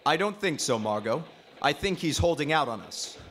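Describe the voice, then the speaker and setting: An actor playing a composed, persistent gentleman sleuth in an old-time radio mystery. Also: on the high side, very animated, moderate pace, a little hiss or hum. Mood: pressing. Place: live stage performance at a convention.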